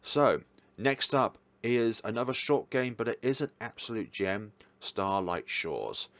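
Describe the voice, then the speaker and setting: A man's voice: fairly low pitch, expressive, a little slow, fairly bright tone, no room sound. A man hosting a casual, chatty ranking of games, rambling and correcting himself as he goes, with an enthusiastic, hesitant manner. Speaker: host reviewing visual novels.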